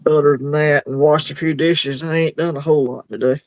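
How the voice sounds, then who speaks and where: A man hosting a casual livestream chat, rambling and easygoing, quick to laugh. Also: on the high side, steady tone. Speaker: livestream host.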